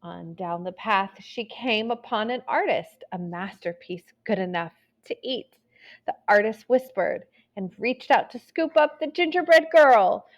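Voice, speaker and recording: A woman storyteller reading a children's tale aloud in an expressive, deliberate way with dramatic emphasis. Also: medium pitch, lo-fi sound.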